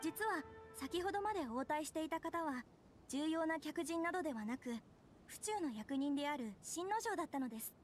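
High-voiced, polite young noblewoman. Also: expressive, moderate pace. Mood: troubled, hesitant. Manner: formal, humble, soft-spoken politeness. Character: polite, gentle young noblewoman with quiet worries. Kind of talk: Japanese video game voice acting. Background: background music.

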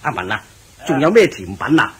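A man's voice: mid-range voice, sing-song, fairly quick, a bit bright, slightly rough, full character voice. A man with a Hong Kong Cantonese accent playing a fat, gluttonous, jovial king in a radio drama, exclaiming and expansive. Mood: greedy, eager.

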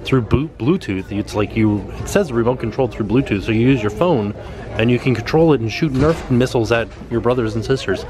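A man's voice: medium pitch, a little fast, crowd noise. A man hosting a casual shopping vlog, chatting and reading out prices as he walks through a store. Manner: chatty, reads prices aloud. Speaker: vlog host. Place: indoor retail store.

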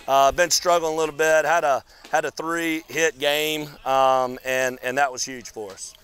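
A baseball coach in his thirties giving a relaxed postgame interview outdoors on a field, talking with many fillers and restarts. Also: a little high, measured pace, clean tone. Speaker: college baseball head coach. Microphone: lapel mic.

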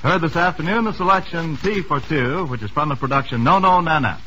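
American male announcer, vintage radio sell, animated and forceful, faint noise behind. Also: a little high, brisk, poor audio. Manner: enthusiastic, polished selling. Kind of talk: 1930s-40s radio advertising read.

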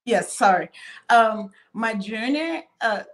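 A woman's voice: a little high, animated, slow. A young woman from Cameroon speaking as a cybersecurity panelist on a video call, telling her story in a hesitant way with many fillers and restarts.

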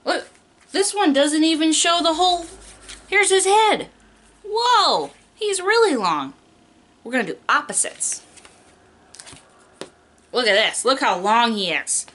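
A woman's voice: high voice, very animated, slow pace, long pauses, fairly bright tone. A young adult woman, a preschool teacher doing a picture book read-aloud in an exaggerated, child-directed way, stretching key words.

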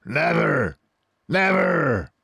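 A man reading a storybook aloud and voicing a greedy male farmer who taunts loudly. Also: on the high side, sing-song, very slow, vocal fry, breathy edge, no room sound, pitched above the performer's own voice, exaggerated voice. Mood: taunting. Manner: taunting, loud.

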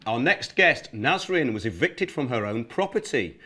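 Forceful, bright-voiced middle-aged man hosting. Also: mid-range voice, very animated, moderate pace, faint music behind. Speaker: host of a current affairs show. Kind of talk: studio interview and commentary. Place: studio desk indoors.

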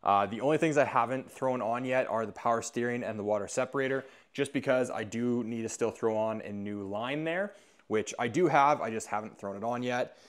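A man's voice: mid-range voice, brisk. Casual North American man, mid-range voice. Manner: informal, upbeat. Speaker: host of a truck build channel. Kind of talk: casual vlog narration to viewers.

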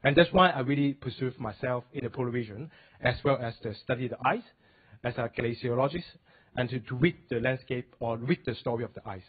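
A man's voice: mid-range voice, fairly quick, husky. A young man from Hong Kong with a Hong Kong English accent, giving a hesitant conference talk at a podium with many fillers. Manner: hesitant, many fillers, non-native phrasing. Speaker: polar expedition leader and glaciologist. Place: indoor wood-paneled hall, at a podium. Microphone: handheld mic. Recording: tinny phone-like sound.

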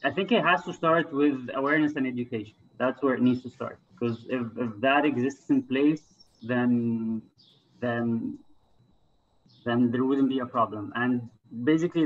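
A man's voice: mid-range voice, narrow, tinny audio. A man from Egypt speaking casually and earnestly as an interview guest, thinking aloud as he talks. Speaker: interview guest, climber and environmental advocate.

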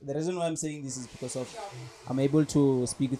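A man's voice: mid-range voice, fairly even intonation, brisk, mellow. A South African man in his thirties hosting a casual barbershop chat, switching between English and Tsonga and often asking "you understand?". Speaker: podcast host. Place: barbershop studio, indoors.

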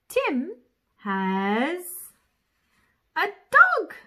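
A woman's voice: very high-pitched, sharp tone. A young woman reading a picture book aloud to small children in an exaggerated, playful way, with sound effects. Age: young adult. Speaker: children's storyteller.

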